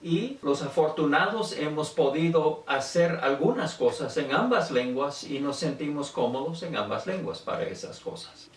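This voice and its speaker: An older man from Venezuela giving an informal autobiographical talk in a reflective, conversational manner. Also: fairly high pitch. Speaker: speaker telling his family language history. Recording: echoey room, distant microphone.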